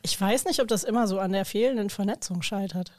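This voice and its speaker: A woman's voice: mid-range voice, fast, a little mellow, no room sound, clean studio sound. A woman chatting casually in a talkative, informal, colloquial way. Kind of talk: casual conversational chat.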